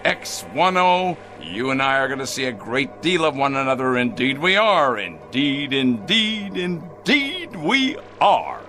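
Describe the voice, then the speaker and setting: An actor in a radio drama playing a smug, sardonic factory boss zealous about machines, with grand mockery. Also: male voice, medium pitch, sing-song, measured pace, hardly any pauses. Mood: smug, gloating. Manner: sardonic, grandly mocking, repeating words. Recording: radio drama with music underneath.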